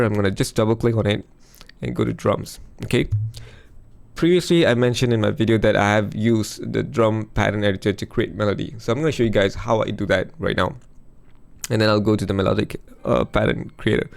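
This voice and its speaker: A man losing his voice, chatting casually through a music software demo over a screen recording. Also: on the low side, fairly quick, gravelly. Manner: chatty, thinks aloud with fillers. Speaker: music production youtuber.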